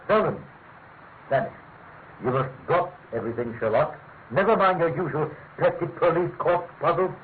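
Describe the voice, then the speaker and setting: An actor playing a pompous, fussy middle-aged government official with a British accent, halting and emphatic, in classic radio mystery style. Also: male voice, a little high, close to an everyday voice. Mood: urgent. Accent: British English. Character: pompous, fussy male senior government official, gravely insistent. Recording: tinny, narrow-band radio recording with hiss.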